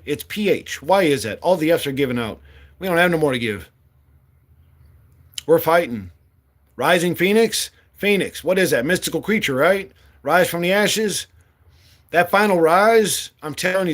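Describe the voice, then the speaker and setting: A man in his late forties giving a blunt, impassioned monologue as a candidate, swearing freely and speaking with fire. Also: a little high. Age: 40s. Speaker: political candidate.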